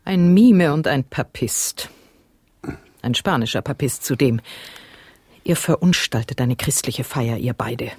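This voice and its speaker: A man's voice: medium pitch, expressive, measured pace, pauses often, husky, dry recording. A man playing a sour, pious, stern widow in a radio play, curt and scornful in manner.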